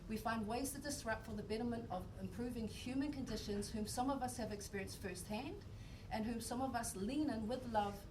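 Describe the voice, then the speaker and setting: A woman reads a prepared speech in an earnest, emphatic way. She sounds distant from the mic, with clear noise running behind her. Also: a little high, a little fast, few pauses. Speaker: speaker, singer-songwriter. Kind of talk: prepared speech read aloud. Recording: distant mic in a noisy room.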